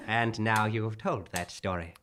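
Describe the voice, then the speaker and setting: A man playing a calm doctor who narrates the tale in a measured, soft-spoken way, in a live stage radio play. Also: on the low side, a little hiss or hum. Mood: composed. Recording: live theatre recording with loud music. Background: loud music.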